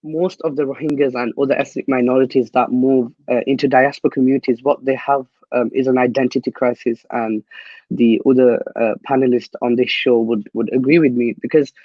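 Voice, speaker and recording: A young man from Myanmar, a guest activist, answering interview questions earnestly and haltingly, with many restarts. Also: mid-range voice, fairly even intonation, no room sound, muffled recording. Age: young adult.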